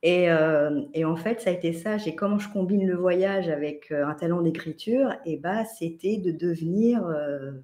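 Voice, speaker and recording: A woman in her fifties from France, a podcast guest telling travel stories in a lively, animated way with many restarts and fillers. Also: lowish voice, normal speed, hardly any pauses. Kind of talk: casual podcast chat.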